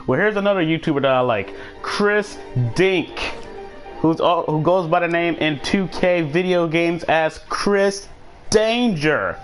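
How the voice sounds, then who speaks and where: A man's voice: a little high, measured pace, soft background music. A man chatting casually as he hosts a live gaming stream and commentates on the action, often repeating phrases for emphasis.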